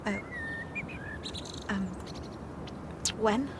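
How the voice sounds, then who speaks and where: An actress playing a guarded young engineer in a wartime radio drama, with a questioning manner. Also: female voice, medium pitch, extremely slow, noisy background. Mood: shaken, questioning.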